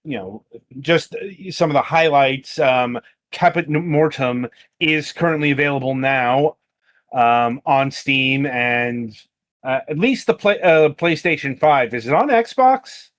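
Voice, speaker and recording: A man hosts a live-streamed interview at a slow, unhurried pace and a middle pitch. He asks his questions politely and in a measured way. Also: fairly bright tone. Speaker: podcast host, interviewer.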